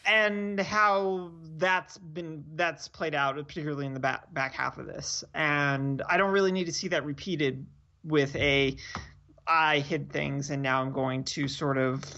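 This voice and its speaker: Low-voiced woman chatting about TV, slightly muffled. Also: measured pace, a bit bright. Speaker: podcast co-host. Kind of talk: casual TV discussion chat.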